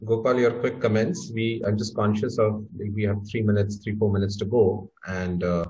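A man moderates a panel and keeps it moving, mindful of the clock. His voice is low. The audio is dull and muffled, like a compressed video call. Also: little pitch movement, mellow. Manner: brisk, time-conscious.

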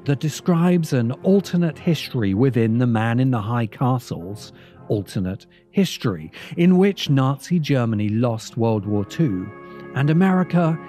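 A man reads a scripted video essay in a mellow, mid-range voice. He is measured and leans on key words with deliberate pauses. Faint music plays behind him. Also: medium pitch, animated. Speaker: host and narrator.

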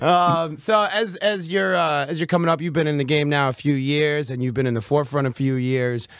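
A man with a raspy voice hosting a casual radio interview in a joking, chatty way. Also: a little high, fairly even intonation, brisk, fairly bright tone, no room sound. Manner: joking, laughs often.

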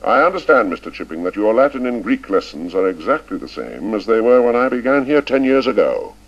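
Man doing a stern cold headmaster, crisp formal talk, husky gravelly voice. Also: lowish voice, very animated, fairly quick, voice pushed up. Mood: lecturing. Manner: clipped, formal and icy. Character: cold, stern middle-aged male headmaster. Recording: dull lo-fi recording.

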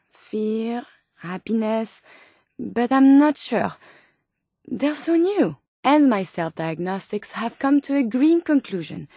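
A woman's voice: fairly high pitch, darkish tone, no room sound, studio quality. An actress voicing a polite, curious female robot scientist from a video game, with a French accent and formal, precise, earnest phrasing. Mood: earnest, musing. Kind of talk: video game companion dialogue.

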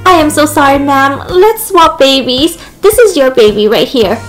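A woman plays an eager nurse with a very high, girlish voice. She sounds hesitant, fussy and sorry, in a children's doll story with background music. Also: lively intonation, normal speed. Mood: embarrassed. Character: flustered, apologetic, eager hospital nurse with a girlish voice. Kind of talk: toy doll roleplay.

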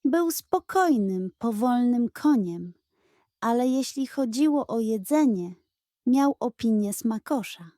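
A woman tells a children's bedtime story. Her voice is fairly high yet soft and mellow, and she reads slowly and expressively, very close and dry. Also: expressive, no room sound. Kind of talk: bedtime storytelling, scripted narration.

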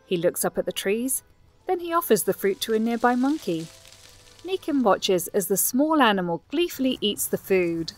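A woman's voice: a little high, big pitch swings, moderate pace, faint music behind. A woman narrating a scripted audio drama.

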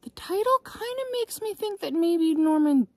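A woman from Canada reading a children's picture book aloud as story-time host, expressively, with dramatic pauses and emphasis. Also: extremely high pitch, animated.

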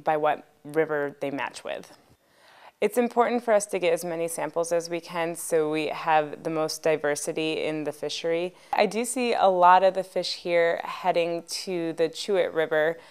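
Young woman, fisheries specialist; low, clean voice; calm documentary explainer. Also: smooth. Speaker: subsistence resource specialist. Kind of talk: documentary interview voice-over.

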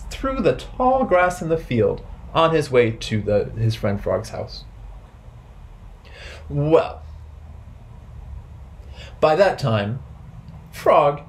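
A man in his thirties telling stories and rhymes to small children as a storytime host, in playful, simple, deliberate phrasing. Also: on the high side, slight background noise. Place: bright indoor room with wooden floor.

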